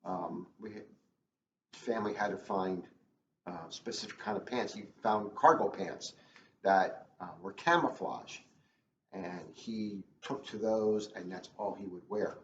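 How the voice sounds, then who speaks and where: A man with a fairly low voice talks casually and earnestly, stressing points by repeating them. The recording is echoey and dull, with a faint layer of noise. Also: steady tone, a little slow. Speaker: police school resource officer. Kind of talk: casual, earnest talk to children. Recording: roomy, muffled, faintly noisy.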